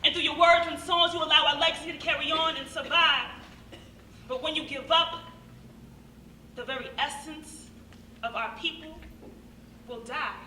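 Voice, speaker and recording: A young American woman performs spoken-word poetry on stage in an earnest, dramatic, rhythmic way with deliberate pauses. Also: sits high, steady tone, sharp tone. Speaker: monologue performer. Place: stage at a live show. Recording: echoey hall from far off, faint noise.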